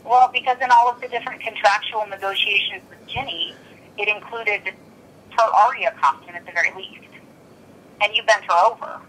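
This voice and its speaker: A woman's voice: mid-range voice, fairly even intonation, normal speed, a bit bright. A blunt, exasperated woman arguing on a recorded telephone call. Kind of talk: tense phone argument.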